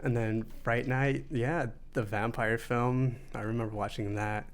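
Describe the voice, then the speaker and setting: A man in a casual podcast chat, talking in a relaxed, rambling way with plenty of "like" and "you know." His voice sits at an ordinary middle pitch for a man, neither high nor low. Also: a little slow. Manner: relaxed storytelling with many fillers. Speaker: podcast guest.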